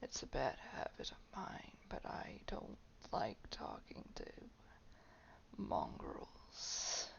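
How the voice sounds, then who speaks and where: Woman playing a slow, whispering, creaky vampire. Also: rumbling low, huge pitch swings, heavily acted. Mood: haughty, musing. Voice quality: breathy whisper with gravelly creak. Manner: slow, drawn-out, condescending, softly threatening. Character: haughty, menacing old vampire lurking in a castle. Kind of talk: roleplay audio drama monologue. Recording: dull, lo-fi home microphone.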